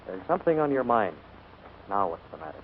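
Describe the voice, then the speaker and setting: A man playing a suave, wry gentleman employer in a 1940s radio comedy. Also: on the low side, very animated, a little fast. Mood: probing, brisk. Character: suave, observant gentleman employer, teasing his servant. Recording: scratchy, narrow-band 1940s radio broadcast.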